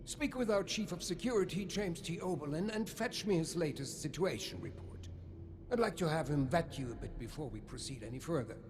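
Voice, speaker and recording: Theatrical German tycoon, wildly swooping delivery. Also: male voice, a little high, expressive, brisk, music in the background. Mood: grandiose, welcoming. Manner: pompous, courtly, flamboyant. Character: suave, theatrical older male German vault overseer and tycoon. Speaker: vault overseer and businessman. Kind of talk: scripted video game dialogue.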